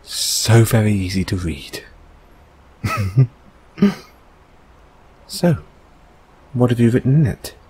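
A man playing a gentle, reassuring boyfriend in an intimate comfort audio roleplay, speaking softly and hesitantly. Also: lowish voice, lively intonation, breathy edge, heavily acted. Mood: gentle, playful. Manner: soft, hesitant, soothing, unhurried.

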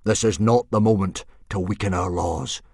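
A man voicing a grim middle-aged Viking chief who makes solemn pronouncements in a booming voice. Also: moderate pace, vocal fry, darkish tone, breathy edge, dry recording, studio quality. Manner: bellowing, grim and formal.

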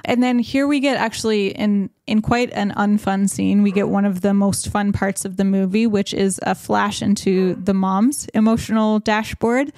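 A woman's voice: mid-range voice, steady tone, normal speed, few pauses, darkish tone. A young adult woman hosting a casual podcast, recapping a film in a chatty way and laughing often. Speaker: podcast host. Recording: dry close-mic podcast sound.

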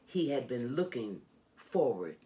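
A middle-aged woman reading a children's book aloud in an expressive, deliberate, emphatic storytelling manner. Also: extremely low pitch, slight background noise. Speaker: storybook reader. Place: indoors, furnished home room.

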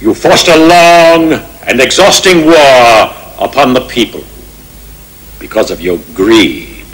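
Stern old king, commanding and slow, dubbed fantasy drama. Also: mid-range voice, animated, fairly bright tone, rough recording. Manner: solemn, commanding. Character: stern old bearded king passing judgment.

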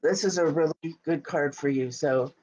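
A woman in her thirties hosting a casual live video from her home office, sounding stuffy and scratchy from a cold, chatty and quick to laugh. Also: low voice, dark tone. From Utah. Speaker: host. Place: home office, small room indoors. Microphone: webcam at desk.

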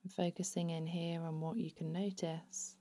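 A woman narrating a guided body scan meditation in a soothing, unhurried, hushed manner. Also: lowish voice, level tone.

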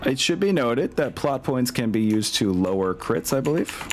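A man's voice: medium pitch, very animated, moderate pace. A man acting as game master, casually running an online tabletop game.

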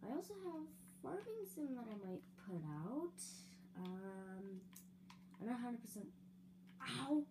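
A young woman casually narrating a building game with giggles and fillers, her voice a little raspy. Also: on the high side, lively intonation, unhurried. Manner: chatty, giggly, rambling with fillers. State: slightly raspy, throat-clearing. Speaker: gaming video creator. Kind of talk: casual let's-play building commentary. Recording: audible hum behind voice.

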